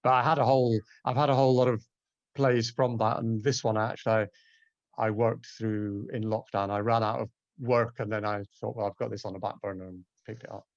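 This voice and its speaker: A man's voice: fairly low pitch, little pitch movement, a little fast, no room sound. Male panel guest, casual and rambling, self-correcting; dry, slightly muffled recording. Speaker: translator on a panel. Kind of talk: casual anecdotal panel talk. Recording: dull, dry lo-fi audio.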